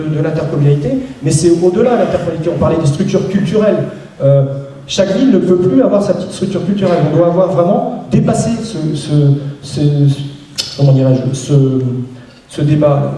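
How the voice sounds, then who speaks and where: A man's voice: on the high side, warm tone. A man giving a local campaign speech, hesitant, with many restarts and fillers. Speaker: local election candidate. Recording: echoey room, voice from far off.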